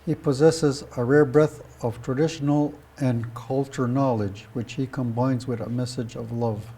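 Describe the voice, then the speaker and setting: Mellow-voiced man reading an introduction. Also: mid-range voice, steady tone, measured pace, husky, poor audio. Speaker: presenter introducing a guest speaker. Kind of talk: scripted biographical introduction, read aloud.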